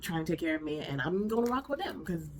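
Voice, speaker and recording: A breezy, dismissive young woman, sassy and sure of herself, as a nasal, stuffy-voiced host plays her. The voice sits lowish and casual, with a faint hiss behind it. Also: very animated, quick pace. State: nasal from a cold.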